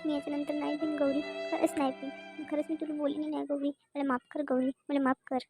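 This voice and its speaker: Woman voicing a high-pitched whiny cartoon son. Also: level tone, a little fast, dark tone, no echo, studio quality, faint music behind. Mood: pleading, remorseful. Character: hot-headed drunk son, sulky then pleading. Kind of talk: amateur cartoon comedy dubbing.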